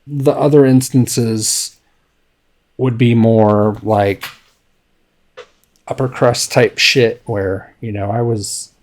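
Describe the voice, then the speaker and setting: A man with a fairly low voice co-hosts a casual podcast. He tells his stories slowly and haltingly, leaning on fillers like um and you know. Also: a little mellow. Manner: slow, halting storytelling with many fillers. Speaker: podcast co-host. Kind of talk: casual chat.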